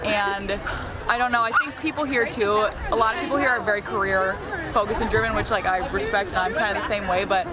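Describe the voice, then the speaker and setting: A woman's voice: medium pitch, steady tone, fairly quick. A young woman from Minneapolis chatting casually in a street interview, often hedging with "I think". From Minneapolis, US Midwest. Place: outdoor food festival in a city. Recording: loud crowd and street noise. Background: festival crowd and traffic.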